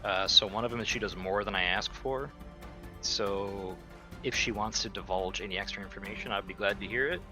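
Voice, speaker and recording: A man's voice: fairly low pitch, fairly quick. A man playing a tabletop roleplaying game, chatting informally and jokingly, in and out of character. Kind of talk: casual in-character game chat. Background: background music.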